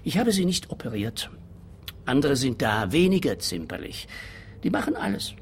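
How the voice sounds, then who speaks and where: An actor playing a self-justifying, money-minded anti-aging therapist in a radio play, explaining things in a measured, condescending way. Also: male voice, medium pitch, sing-song, a little hiss or hum.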